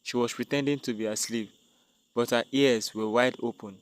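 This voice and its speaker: A man's voice: mid-range voice, steady tone, moderate pace. A man narrating a dramatic scripted tale as a storyteller.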